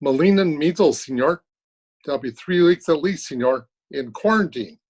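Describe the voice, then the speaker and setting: A man's voice: on the high side, animated, moderate pace, close to an everyday voice. A man playing a courteous, gloomy taxi driver in an old-time radio drama. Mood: deadpan gloomy. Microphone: video call.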